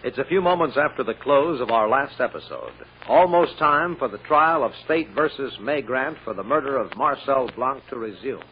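A man's voice: medium pitch, lively intonation, moderate pace, few pauses. A man narrating a radio drama in a 1940s scripted announcing style. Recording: muffled, hissy old radio recording.

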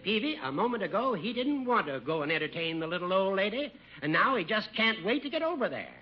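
An actor playing a sly, boastful older judge, teasing and theatrical in a 1950s radio comedy. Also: male voice, high-pitched, sing-song, quick pace. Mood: lively. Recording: muffled, faintly hissy old radio recording.

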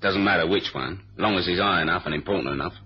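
An actor playing a blunt, cynical working-class British agent in a 1970s radio drama, speaking laconically with irreverent wit. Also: male voice, sits low, fast talker, slight background noise, natural voice. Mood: blunt, dismissive. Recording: dull, lo-fi 1970s radio recording.

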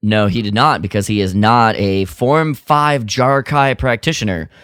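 A man's voice: on the low side, measured pace, a bit bright, smooth, no room sound, clean studio sound. A man co-hosting a podcast, recapping a radio drama in casual chat, rambling with lots of fillers and restarts. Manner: rambling, full of fillers and restarts, quotes characters mockingly. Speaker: podcast co-host.

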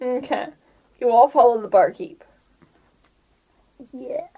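A woman narrates a tabletop game as its game master. Her voice sits on the high side and she takes her time, feeling out the scene haltingly. The delivery is casual and giggly. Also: husky, narrow, tinny audio.

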